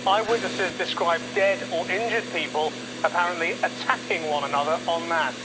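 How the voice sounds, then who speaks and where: A man's voice: on the high side, fairly even intonation, moderate pace, close to an everyday voice. A man playing a television newsreader delivering a scripted emergency report. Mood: urgent. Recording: clearly noisy broadcast audio.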